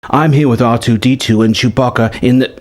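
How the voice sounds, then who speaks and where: A man voicing an earnest, awestruck young woman apprentice who speaks haltingly in a space-adventure story. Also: mid-range voice, fairly even intonation, natural voice. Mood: halting, urgent.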